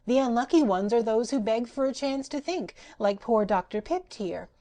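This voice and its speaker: A woman's voice: on the high side, expressive, normal speed, dark tone, pitched above the performer's own voice. A woman voicing a giddy, boastful female patchwork rag doll who chants sing-song rhymes. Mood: cheeky.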